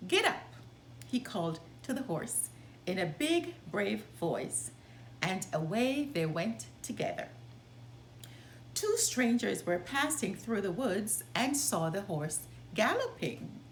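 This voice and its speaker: A woman reading a children's story aloud as a storytime host, with expressive, deliberate delivery. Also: mid-range voice, a little hiss or hum, poor audio.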